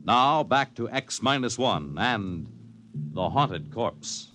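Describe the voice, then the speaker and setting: A man's voice: mid-range voice, animated, takes it slowly, music in the background. A man reading scripted announcements as a 1950s radio announcer.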